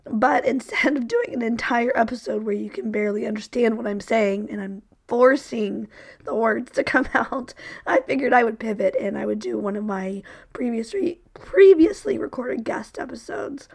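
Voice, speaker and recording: Woman host losing her voice, raspy and croaky, slightly high, chatty intro. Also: normal speed. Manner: laughing lightly at her own hoarseness. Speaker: podcast host. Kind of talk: casual podcast intro.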